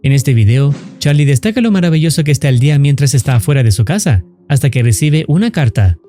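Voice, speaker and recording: A man's voice: mid-range voice, expressive, quick pace, warm tone, faint music behind. A man reading scripted narration for a horror explainer video.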